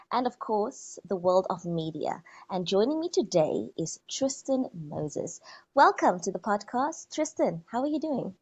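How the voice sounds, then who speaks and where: A woman's voice: mid-range voice, slightly rough, highs cut off. A woman hosting a podcast, speaking in an upbeat, presenter-like way. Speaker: podcast host. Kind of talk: scripted intro, then interview.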